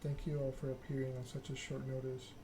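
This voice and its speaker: Stern vampire monarch for a game, female role read by a man: formal, imperious, flat intonation. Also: mid-range voice, brisk, noisy background, natural voice. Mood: commanding. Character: stern, formal vampire prince holding court, a woman.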